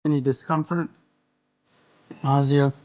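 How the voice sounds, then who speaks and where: A man playing a cold, clinical brain surgeon in an audio drama, speaking in a stern, measured manner. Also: mid-range voice, little pitch movement, darkish tone, close to an everyday voice.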